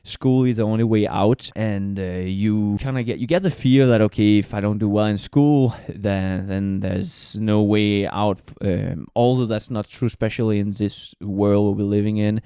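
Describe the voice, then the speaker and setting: A young man from Mauritius hosts his own podcast, talking in an earnest, conversational way with plenty of ums and restarts. His voice sits fairly low, with a warm, mellow tone. The recording is close and dry. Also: fairly even intonation, a little slow, hardly any pauses, clear, resonant tone, dry recording. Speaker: podcast host. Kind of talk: solo podcast monologue.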